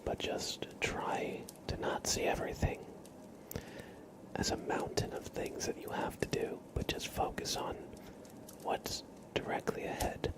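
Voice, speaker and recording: Whispering man giving gentle ASMR affirmations. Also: rough recording. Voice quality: breathy whisper. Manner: gentle, reassuring, slow and halting. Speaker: ASMR streamer.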